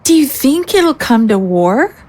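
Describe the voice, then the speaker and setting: Earnest, high-voiced young fugitive, acted by a woman. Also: sing-song, unhurried, a little mellow, exaggerated voice. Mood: uneasy. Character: guilt-ridden young female fugitive, earnest, trained to shoot. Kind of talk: audio drama acting.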